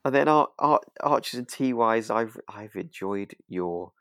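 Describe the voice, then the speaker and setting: A man with a low voice, recorded very dry with little room sound. He co-hosts a relaxed chat podcast. He talks with gentle enthusiasm, hesitating and repeating words as he goes. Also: a little slow, no room sound. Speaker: podcast co-host. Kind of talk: casual chat about a radio drama.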